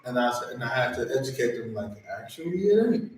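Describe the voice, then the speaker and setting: A man in his forties hosting a casual chat, an animated, slangy storyteller. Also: mid-range voice, fast, slight background noise. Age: 40s. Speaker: host. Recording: echoey room, far from mic.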